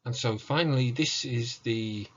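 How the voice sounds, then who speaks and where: A man giving an academic presentation as a lecturer, measured and scholarly in his delivery. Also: medium pitch, highs cut off. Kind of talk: academic presentation, scripted narration.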